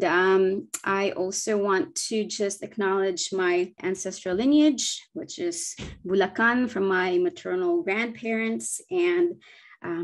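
Bisaya-speaking woman from the Philippines in her forties, earnest video-call presenter who hesitates and corrects herself. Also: medium pitch. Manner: earnest, thoughtful, with frequent ums and self-corrections. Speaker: children's book publisher. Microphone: laptop webcam on video call.

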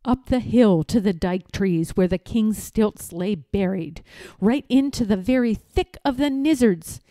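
A woman reading a children's story aloud in a deliberate, expressive, dramatic style. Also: medium pitch, dry recording. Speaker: storybook reader.

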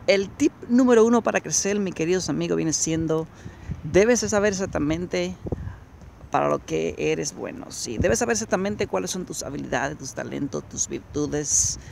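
A man's voice: a little high, normal speed, gravelly, darkish tone. A man in his thirties giving a motivational talk to a phone camera as he walks along a city promenade at night, repeating his points and speaking straight to the viewer. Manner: preachy, repetitive, addresses viewer directly. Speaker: motivational coach. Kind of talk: walking vlog monologue. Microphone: phone camera held while walking. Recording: noisy outdoor phone recording. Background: street ambience and passing traffic.

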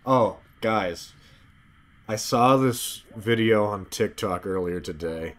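A man streams a game and comments casually as it plays. His voice is on the low side and slow, with understated, murmured reactions that often echo the game's lines. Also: a bit bright.

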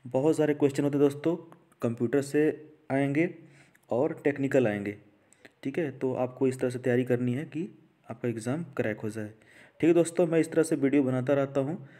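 A man's voice: medium pitch, a little fast, mellow. A man hosting an informal educational explainer, talking conversationally with repeated phrases and frequent check-in tags. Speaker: host of an education channel. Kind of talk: informal explainer to camera-less video audience.

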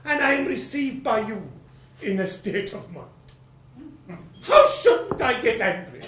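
A woman's voice: fairly high pitch, sing-song, a little slow, a bit bright, a little hiss or hum. A woman playing a blustering, angry male landowner who rants in exclamatory, self-pitying outbursts, acting out a stage play. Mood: indignant. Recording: roomy echo, from a distance.

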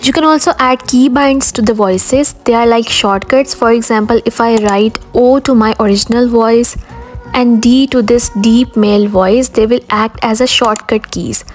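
A woman with a fairly high voice presents a scripted software tutorial as its host. She guides the listener step by step, with music playing behind her. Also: steady tone, moderate pace, low-quality recording. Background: background music.

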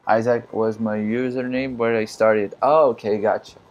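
A man from Brazil whose first language is Portuguese, chatting casually in halting English with many fillers and frequent laughs while streaming a game. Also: fairly low pitch, fairly even intonation, darkish tone, lo-fi sound, soft background music. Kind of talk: casual live-stream commentary.

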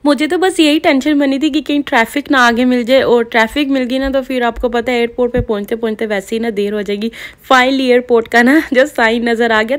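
A woman's voice: fairly high pitch, no room sound. A woman in her thirties from Pakistan, with a Pakistani Urdu accent, chatting casually to viewers in a vlog. She talks straight to the camera and often adds a polite "ji". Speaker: family vlogger. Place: busy airport, parking and terminal. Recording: loud crowd and traffic noise.

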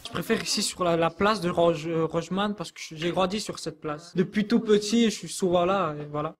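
A man's voice: high voice, normal speed, a crowd in the background. A young man answering interview questions earnestly into a handheld mic in a youth centre room, with some fillers and restarts. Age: young adult. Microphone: handheld microphone.

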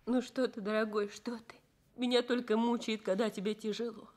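A woman's voice: fairly high pitch, flat delivery, moderate pace, a little mellow, lightly acted. An actress playing a devoted, anxious young nurse caring for her sick husband in a Soviet radio drama. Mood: tender, consoling.

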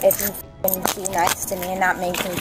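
A woman's voice: on the low side, completely flat delivery, a little fast, loud music behind the voice. A young adult woman in a heated confrontation, argumentative and insistent, repeating herself, caught on police cameras. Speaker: arrested suspect. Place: back of police car and just outside at night. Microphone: police car camera and officer's body camera.

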